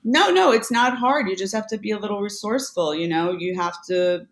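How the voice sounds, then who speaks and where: A woman guest on a business podcast, talking casually about selling online, with quick asides and self-corrections. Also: medium pitch, echoey room. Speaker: podcast guest, Amazon seller. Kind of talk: casual conversational interview.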